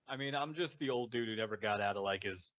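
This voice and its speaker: A man's voice: mid-range voice, a bit bright, lo-fi sound. A man in his twenties co-hosting a podcast, bantering casually and swearing as he teases his friends. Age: 20s. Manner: teasing, profane banter. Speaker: podcast co-host. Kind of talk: casual chat.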